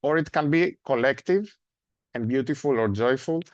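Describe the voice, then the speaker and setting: A man with a Greek accent giving an academic seminar lecture. He speaks in a measured way, with frequent "uh" fillers and a rhetorical "no?" at the end of points. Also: mid-range voice, no room sound. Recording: dry, dull lo-fi sound.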